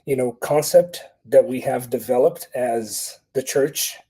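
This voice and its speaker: Mellow-voiced podcast host, affirming. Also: male voice, medium pitch, unhurried, husky. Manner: friendly, affirming, asks follow-up questions. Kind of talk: conversational interview.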